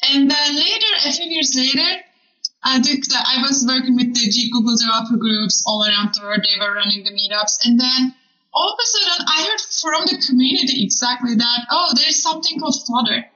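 A woman's voice: sits high, fairly quick, sharp tone, roomy sound, highs cut off. A woman from Turkey with a Turkish accent, telling her story casually as an interview guest. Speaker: podcast guest, community program manager.

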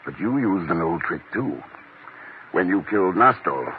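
Low-voiced, unruffled radio secret agent. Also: male voice, animated, moderate pace. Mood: dry, pressing. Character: calm, dry American secret agent. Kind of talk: 1950s radio drama acting. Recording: noisy, tinny 1950s radio recording.

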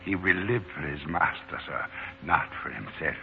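An actor playing a devoted, solemnly deferential manservant, formal and earnest, in 1940s radio style. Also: male voice, low-pitched, moderate pace, gravelly. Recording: muffled, noisy 1940s radio recording.

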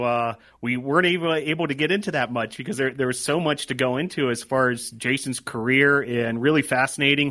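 A man with a mid-pitched voice talks sports as a podcast co-host. His delivery is casual and reflective, a little halting, with words repeated as he thinks aloud. The sound is dry and close. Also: bright, forceful tone, no room sound. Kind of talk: casual conversational sports commentary.

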